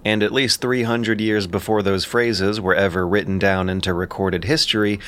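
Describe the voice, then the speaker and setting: A man narrating scripted explanatory commentary in a measured, lightly informal way. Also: lowish voice, fairly even intonation, a bit bright.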